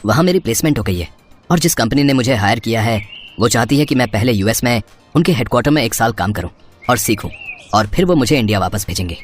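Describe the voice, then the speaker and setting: A woman's voice: a bass voice, lively intonation, fast talker, voice pushed down. A woman voicing an earnest, reassuring young man in a cartoon story, with faint music behind. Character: young man, gentle college senior boyfriend.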